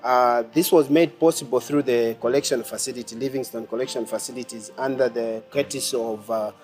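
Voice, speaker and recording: A man's voice: medium pitch, fairly even intonation, a little fast, darkish tone. A young man from Zambia, a gospel musician, speaking earnestly and reflectively in a documentary interview outdoors. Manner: earnest, reflective, some restarts. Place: outdoors among bushes. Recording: faint music underneath.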